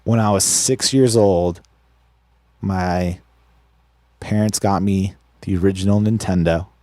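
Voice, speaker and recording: A young man from Israel, a guest musician telling his story in a relaxed, unhurried way, with plenty of fillers. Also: low-pitched, no room sound. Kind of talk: casual interview.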